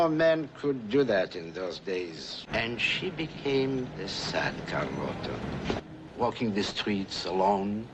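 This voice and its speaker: Older storyteller, 1950s film drama: slow, measured delivery with expressive pitch, noisy period soundtrack. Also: male voice, heavily acted.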